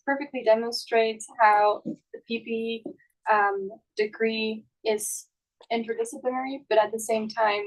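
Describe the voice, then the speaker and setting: A young woman from Slovakia giving unscripted answers as a student on a panel, often pausing mid-phrase. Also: a little high, poor audio. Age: young adult.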